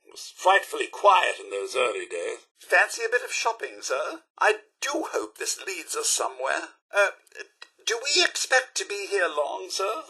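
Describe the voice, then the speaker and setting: A man's voice: sits high, huge pitch swings, moderate pace, bright, forceful tone, breathy edge, full character voice, low-quality recording. A man playing a polite, fussy robot butler in a video game, with a British accent and genteel, theatrical, wry courtesy. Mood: chipper, courteous, then nervous, fussy. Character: polite, fussy male robot butler, chipper yet wistful. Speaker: robot companion. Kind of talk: video game companion remarks.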